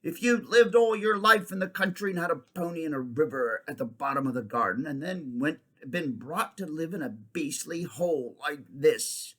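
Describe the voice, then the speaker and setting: A man reading aloud, voicing a miserable, excitable young boy who tumbles over his words. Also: a little high, moderate pace, few pauses, voice pushed up. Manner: tumbling, breathless restarts.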